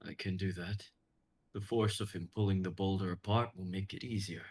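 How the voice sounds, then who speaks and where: A man playing an earnest, brotherly mythic hero with a husky voice in a live script reading. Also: low voice, steady tone, normal speed. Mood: urgent.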